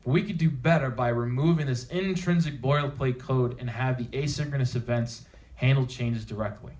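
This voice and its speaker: Guy doing a tech meetup talk, medium pitch, casual but emphatic, asks the room rhetorical questions. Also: normal speed, darkish tone, slight background noise. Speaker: conference speaker. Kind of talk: technical presentation to a live audience. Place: theater at a developer meetup.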